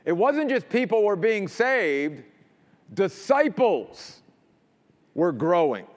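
Animated preacher in a reverberant hall. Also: male voice. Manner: emphatic preaching, drops low and quiet for stress. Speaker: pastor. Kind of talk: sermon.

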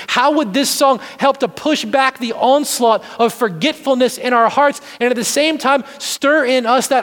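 A man preaching a sermon in an earnest, deliberate way, repeating phrases for emphasis. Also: extremely high pitch. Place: large reverberant room, church service. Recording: live sermon in reverberant hall.

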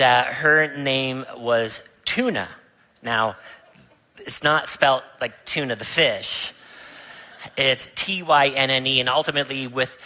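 A man's voice: medium pitch, sharp tone, rough recording. A man, a pastor, giving a sermon in a church. He tells a family story, then rises to emphatic preaching. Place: church sanctuary.

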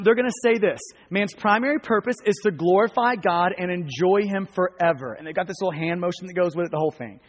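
An American man preaching a sermon to a congregation in an earnest, emphatic manner, repeating phrases for weight. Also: sits high. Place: large reverberant room, live before a congregation. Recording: long hall reverb.

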